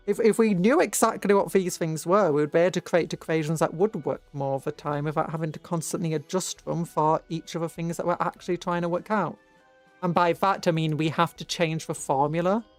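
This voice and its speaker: A British livestreamer talks casually to viewers in a medium-pitched voice. The delivery wanders, with hesitations and restarted sentences. Soft music plays behind the voice. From Britain. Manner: rambling, hesitant, trailing off and restarting. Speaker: livestream host. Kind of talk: casual rambling livestream chat.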